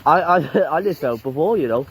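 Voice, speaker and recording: A man's voice: fairly high pitch, animated, a little fast. An eighteen-year-old man chatting casually outdoors in the snow, swearing and laughing as he rambles. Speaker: vlogger. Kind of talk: casual chat while out in the snow. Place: outdoors on a snowy road.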